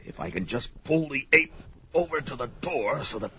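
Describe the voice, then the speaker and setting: A man's voice: medium pitch, animated, normal speed, pushed and bright, husky, exaggerated voice. An actor playing a bold, grim barbarian warrior who narrates his own actions melodramatically in an audio adventure drama. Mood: tense, calculating. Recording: dull lo-fi audio drama under music.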